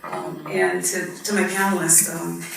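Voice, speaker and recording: Woman in her 50s, a judge on a panel, low gravelly voice, earnest, lots of ums, far from the mic in an echoey hall. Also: sing-song, a little slow, a bit bright. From born in Guyana, raised in Barbados. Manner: earnest and reflective, with frequent ums. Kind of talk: personal story at a panel discussion. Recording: echoey hall, mic at a distance, faint noise.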